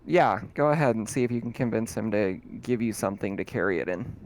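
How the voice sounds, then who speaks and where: A man's voice: on the low side, lively intonation, quick pace, darkish tone, rough recording. A man acting as game master, casually narrating a tabletop roleplaying game.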